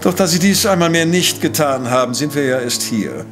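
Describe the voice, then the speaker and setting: A man's voice: on the high side, lively intonation, moderate pace, over music, close to an everyday voice. A man playing a brilliant, conceited professor-detective in an audio drama, with a pompous, precise, lecturing manner. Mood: scornful. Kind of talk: German audio drama acting.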